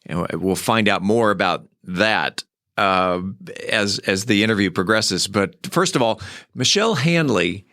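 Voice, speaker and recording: A man from California hosting a casual studio interview, chatty and relaxed, often repeating words while he thinks. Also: lowish voice, measured pace, dry recording. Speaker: podcast host.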